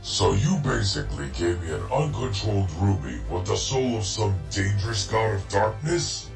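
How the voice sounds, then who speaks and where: Brooding male villain played by a man for a comic dub: low, expressive, bitter, echoing over loud guitar music. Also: moderate pace, distant mic. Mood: cold, bitter. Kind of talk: fan comic dub acting.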